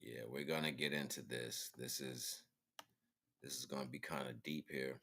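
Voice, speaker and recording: A man with an extremely low voice hosts an online stream. He talks off the cuff in a loose, colloquial way, speaking straight to his listeners like an informal radio host. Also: steady tone, normal speed, vocal fry, fairly bright tone. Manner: informal, colloquial, addresses listeners as brothers and sisters. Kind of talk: casual rambling livestream talk.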